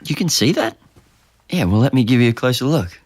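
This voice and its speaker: An actor playing an awkward, easygoing young Australian man in a naturalistic audio drama, with casual, halting, self-deprecating delivery. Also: mid-range voice, sing-song, breathy edge, dry recording.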